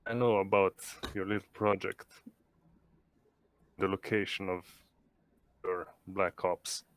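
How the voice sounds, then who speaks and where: Slow, low-voiced man playing a tabletop game. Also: steady tone, fairly bright tone. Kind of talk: casual in-character roleplay.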